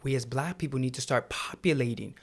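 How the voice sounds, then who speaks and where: A man's voice: medium pitch, brisk. A man co-hosting a podcast, explaining his points earnestly and in order. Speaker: podcast co-host. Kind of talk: conversational podcast discussion.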